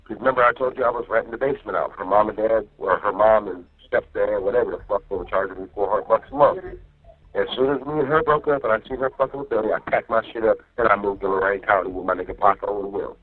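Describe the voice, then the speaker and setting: Husky-voiced man on a muffled phone. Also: lowish voice, quick pace. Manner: rambling, repeats himself with "you know what I mean". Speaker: jail inmate on a phone call. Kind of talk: casual, profane venting to a partner. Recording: muffled jail phone line, faint music.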